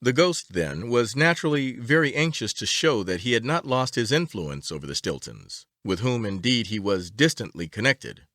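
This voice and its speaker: A man reading a story aloud as an audiobook narrator, with a measured, expressive storytelling delivery. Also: mid-range voice, dry recording, studio quality.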